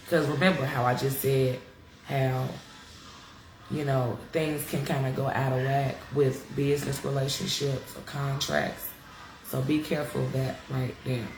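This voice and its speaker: A woman's voice: extremely low pitch, a little mellow, roomy sound, poor audio. A congested woman giving a casual live-stream astrology talk, chatty and frank, cursing freely and calling her viewers y'all. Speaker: astrology teacher. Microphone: live-stream device mic.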